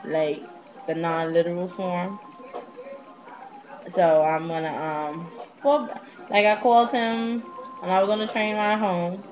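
A woman's voice: lowish voice, steady tone, unhurried, long pauses, over music. A woman in her twenties telling personal stories casually to her webcam, candid, slangy and freely swearing, recorded on a small netbook mic. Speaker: vlogger. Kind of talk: casual confessional storytelling to webcam. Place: small indoor room at home. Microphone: netbook's built-in webcam mic. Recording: dull lo-fi webcam audio.

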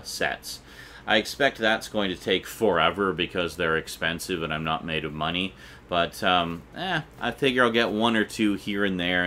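A man's voice: deep voice, sharp tone. A middle-aged man, chatting informally and unscripted as he hosts a review vlog. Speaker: video host reviewing collectibles. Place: small indoor room with shelves. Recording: clearly noisy room recording.

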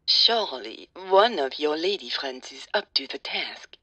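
A woman voicing a composed, insistent older mother in an audio drama, formal and brisk in manner. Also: low voice, big pitch swings, bright, forceful tone, husky, no room sound, heavily acted, low-quality recording. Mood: dismissive, firm.

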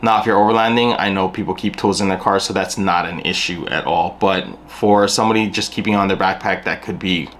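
A man's voice: low-pitched, steady tone, brisk, hardly any pauses, a bit bright, slight background noise. A middle-aged man giving a casual, plainspoken product review to camera, explaining things as he goes in a small room at home. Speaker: gear reviewer.

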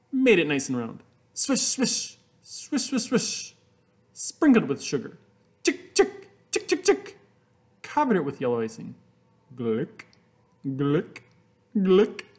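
A man reads a picture book to children with a hugely lively, swooping pitch. His pace is slow and theatrical, with stretched words and playful sound effects. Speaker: storybook reader. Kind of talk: animated children's picture-book read-aloud.

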